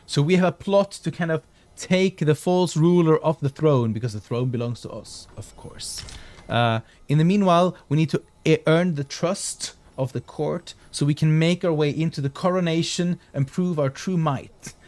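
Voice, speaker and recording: A man's voice: on the high side, moderate pace, darkish tone. A man from southern Sweden, streaming a game and chatting casually with viewers. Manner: chatty, restarts and fillers, reads chat aloud.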